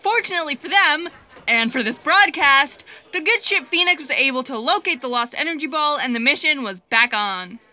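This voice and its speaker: A woman's voice: high-pitched, expressive, normal speed, sharp tone, full character voice. A woman playing an eager, earnest, slightly flustered fact-checker who narrates from her notes in a comic sci-fi audio drama. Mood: explaining. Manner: hesitant openings, earnest, detail-happy.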